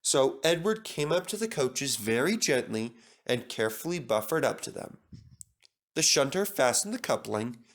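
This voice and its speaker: A man's voice: medium pitch, big pitch swings, normal speed. A man reading a children's story aloud as a scripted narrator.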